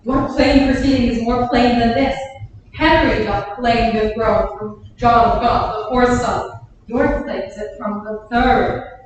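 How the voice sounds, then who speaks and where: A woman's voice: fairly high pitch, fairly even intonation, slow, highs cut off, slight background noise. A woman playing a loyal earl who earnestly backs a duke's claim to the throne in a staged reading. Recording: echoey hall, far from the mic.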